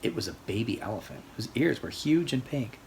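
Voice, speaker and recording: An adult storyteller reading a rhyming picture book aloud for children in an expressive, sing-song way. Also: mid-range voice, brisk, rough recording. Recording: faint background noise.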